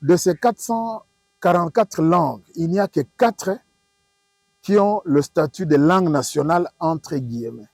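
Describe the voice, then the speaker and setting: Husky Congolese professor, dry close sound. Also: male voice, fairly high pitch, a little slow, a little mellow, no echo. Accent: Congolese French. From Democratic Republic of the Congo. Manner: didactic, emphatic, rhetorical questions and repetition. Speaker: professor, linguistics expert. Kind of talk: interview, explaining to an audience.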